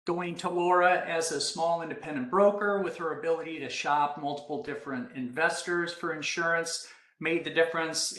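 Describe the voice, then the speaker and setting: A man hosting an online seminar, his voice pitched fairly high for a man. He speaks in a measured, friendly way, with the odd filler. His voice rings in an echoey room over a video call. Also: a bit bright. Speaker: webinar host. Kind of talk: moderating a webinar.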